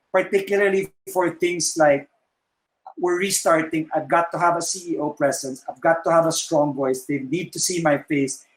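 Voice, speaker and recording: A man's voice: a little high, roomy sound, rough recording. A man leading a business meeting over a video call, thinking aloud with many fillers and restarts.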